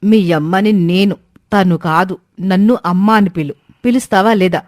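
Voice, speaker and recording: A woman's voice: mid-range voice, normal speed, a little mellow, no echo, studio quality, close to an everyday voice. A woman playing a possessive, anguished young mother in a family drama story, speaking with emotional, stubborn insistence. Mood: angry.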